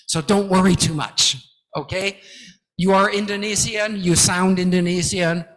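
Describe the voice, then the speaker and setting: A man's voice: high-pitched, steady tone, a little slow, a bit bright. A man giving an interactive lecture on presentation skills, emphatic and encouraging, counting aloud through drills in a large lecture hall. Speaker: guest lecturer. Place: large lecture hall with about two hundred people. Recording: echoey hall reverb.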